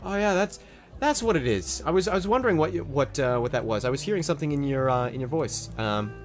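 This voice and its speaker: A man's voice: on the high side, quick pace. A man with a slight Australian accent, telling a rambling story on a casual podcast, often restarting his sentences. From Canada. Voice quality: rambling, restarts a lot, gets excited. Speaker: podcast co-host.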